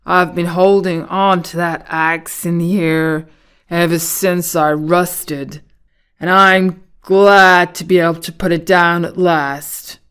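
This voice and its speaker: A woman voicing a polite, sad man made of tin in a storybook, speaking in a smooth, courteous, deliberate way. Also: lowish voice, fairly even intonation, fairly bright tone. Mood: relieved.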